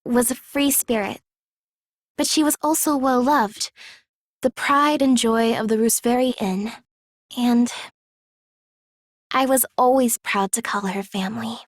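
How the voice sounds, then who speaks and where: High-voiced, earnest young woman. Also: fairly even intonation, measured pace, pauses often, dry recording, clean studio sound. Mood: pained recollection. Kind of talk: English video game voice acting.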